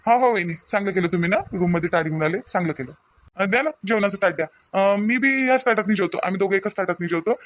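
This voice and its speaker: A man's voice: high voice, fast talker, fairly bright tone. A man voicing a young, reassuring newlywed village husband in a homemade cartoon, speaking colloquially with a Vidarbha Marathi accent. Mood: polite, easygoing. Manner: colloquial, repeats himself soothingly. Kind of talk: homemade cartoon family drama voice acting.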